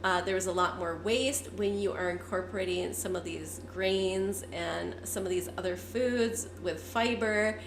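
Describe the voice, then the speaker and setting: A fifty-year-old woman, hoarse and congested from a cold, chatting candidly to her viewers. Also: medium pitch, a little slow, hardly any pauses, roomy sound, slight background noise. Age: 50s. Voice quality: raspy. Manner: candid, chatty, with frequent fillers. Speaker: vlogger. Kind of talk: casual talk to camera.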